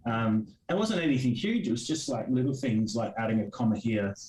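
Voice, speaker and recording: An Australian man in his forties whose first language is Greek Cypriot chats loosely in an interview, looping through fillers and restarts. His voice sits at an ordinary middle pitch and comes from a distance in a roomy space. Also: sing-song, brisk, a little mellow, a little hiss or hum. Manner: rambling, many fillers and restarts. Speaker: guest comic creator. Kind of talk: casual interview chat.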